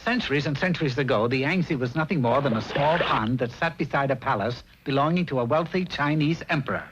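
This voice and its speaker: A man's voice: mid-range voice, a little fast. An American man giving arch, theatrical storybook narration for a 1960s cartoon. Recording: dull, faintly noisy old TV soundtrack.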